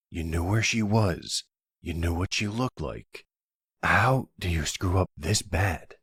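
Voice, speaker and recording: A man as a low, husky mafia boss. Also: no echo, studio quality, exaggerated voice. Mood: exasperated.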